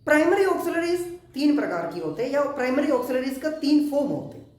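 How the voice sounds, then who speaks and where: A man from Kerala, teaching a spoken English grammar lesson in a slow, deliberate way with many English terms. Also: very high voice, very animated, darkish tone. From Kerala, India. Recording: echoey room.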